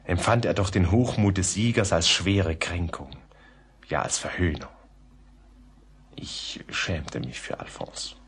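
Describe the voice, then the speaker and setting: An actor playing a grave, reflective gentleman who narrates a German radio play in a measured, often hushed way. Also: low-pitched, slightly rough, exaggerated voice. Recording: faint background noise.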